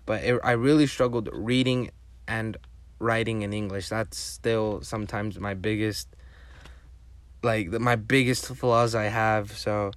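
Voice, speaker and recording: A man's voice: fairly low pitch, fairly even intonation, a little slow, dry recording. A man whose first language is Spanish, hosting a casual solo podcast and rambling with enthusiasm through many restarts. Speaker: podcast host.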